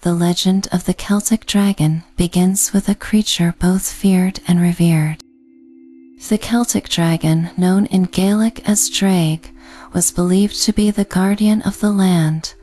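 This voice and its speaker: A woman narrating a scripted bedtime sleep story in a gentle, calming, meditative way. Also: lowish voice. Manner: slow, gentle, calming delivery. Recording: soft music behind the voice. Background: background music.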